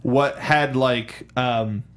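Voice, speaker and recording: A man co-hosting a movie review show in a casual, animated way, throwing in mock outbursts. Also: mid-range voice, drawn-out words. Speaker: co-host of a film review show. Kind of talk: casual film discussion.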